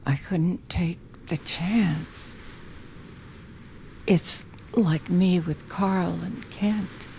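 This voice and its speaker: A haunted, guilt-ridden widow in an audio play. She speaks extremely slowly, with a creaky voice that keeps sinking toward a whisper. Her delivery is halting and fragile, over faint background noise. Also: on the low side, heavily acted. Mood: fearful, guilty. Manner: halting, hushed, often dropping into whispers. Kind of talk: audio drama acting.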